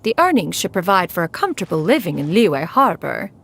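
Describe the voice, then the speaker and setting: An actress playing a haughty, ancient immortal in a video game, who speaks in formal, archaic, imperious diction. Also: female voice, fairly low pitch, big pitch swings, a little fast, vocal fry, a bit bright, soft background music, full character voice. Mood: composed, stately. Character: haughty ancient crane immortal posing as an elegant woman. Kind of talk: scripted video game voice acting.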